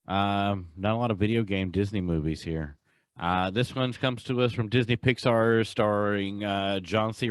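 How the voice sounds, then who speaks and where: A man's voice: fairly low pitch, dry recording. A man hosting a podcast, chatting casually about pop culture. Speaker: podcast host.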